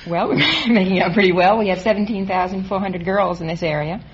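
A woman's voice: lowish voice, fairly even intonation, brisk, breathy edge. A woman in her thirties from New Jersey with an American accent, answering questions as a quiz contestant. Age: 30s. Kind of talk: unscripted interview answers. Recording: muffled vintage radio, audience present.

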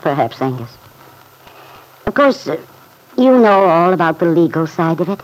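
An actress playing a kindly, thoughtful middle-aged farm mother in an old-time radio drama, speaking gently and politely with some hesitancy. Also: lowish voice, big pitch swings, normal speed, poor audio. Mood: regretful, earnest.

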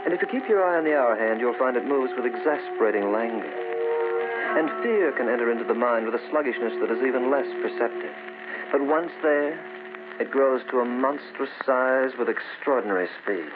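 Actor as foreboding radio narrator over loud music. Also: male voice, mid-range voice, animated, natural voice. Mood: ominous, measured. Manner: measured, literary, foreboding. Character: ominous narrator voicing time and creeping fear. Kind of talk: scripted old time radio horror narration. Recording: tinny old radio recording, loud music.

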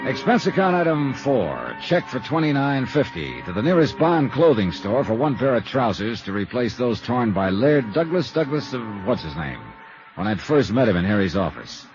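A man playing a brisk, hard-boiled American insurance investigator in 1950s radio style, clipped and wry as he narrates and talks. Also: medium pitch, very animated, close to an everyday voice. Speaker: lead actor and narrator of a radio detective series. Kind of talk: 1950s radio acting, scripted first-person narration. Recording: muffled vintage radio broadcast with music.

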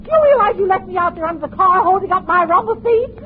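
A woman's voice: extremely high pitch, animated, fast talker. A woman playing an indignant, scolding wife in a 1940s radio comedy. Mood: furious. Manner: scolding, insulting. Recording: tinny, noisy old radio recording.

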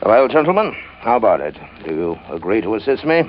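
An actor playing a pompous, florid, hard-up gentleman of shady dealings in an old-time radio drama, hemming as he speaks. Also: fairly low pitch, big pitch swings, a little fast, slight background noise. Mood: smooth, scheming. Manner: pompous, flowery, hesitant hemming. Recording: dull, band-limited old radio recording.

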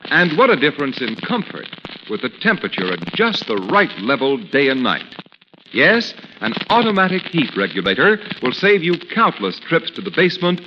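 A man's voice: on the high side, huge pitch swings, moderate pace. A man reading a scripted commercial as a 1940s radio announcer. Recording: muffled, noisy old radio recording, reverberant.

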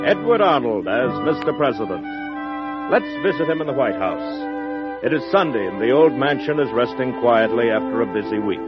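An American man narrates dramatically in classic radio style. His voice is fairly high and sweeps up and down. Loud music plays behind him on a muffled old recording. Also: animated, normal speed. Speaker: radio show announcer and narrator.

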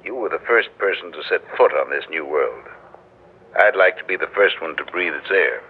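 An actor playing an earnest scientist doctor on a space expedition in an old radio science fiction drama. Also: male voice, low-pitched, lively intonation, normal speed, a little hiss or hum. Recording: muffled old radio recording, music behind.

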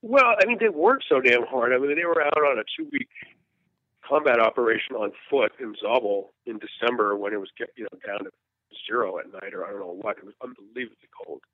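A man's voice: medium pitch, fairly quick, dry recording. A man in his fifties from the United States, a war journalist telling his story in an interview, frank and earnest, often restarting his sentences. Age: 50s. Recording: tinny phone-line sound, dry.

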